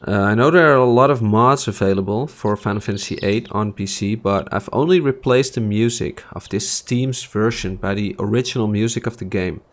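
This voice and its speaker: A man's voice: lowish voice, brisk, few pauses. A man in his thirties from the Netherlands, a native Dutch speaker with a Dutch accent, casually introducing a game playthrough in a chatty way with many fillers. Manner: relaxed, chatty, with frequent uh and um. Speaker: let's play host. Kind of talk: casual gameplay commentary.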